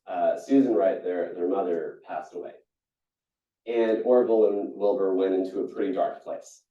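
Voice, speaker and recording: Man telling stories in an echoey hall. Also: moderate pace. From Singapore. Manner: storytelling, animated with jumps in pitch and frequent restarts. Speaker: keynote speaker. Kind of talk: motivational keynote talk. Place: conference hall. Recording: echoey hall, distant and dull.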